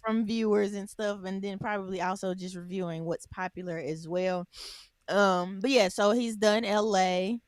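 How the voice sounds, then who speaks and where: A woman's voice: mid-range voice, level tone, normal speed, dry recording, studio quality. A woman co-hosting a casual podcast, telling a story in a rambling way with many ums and restarts. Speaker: podcast co-host. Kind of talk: casual chat.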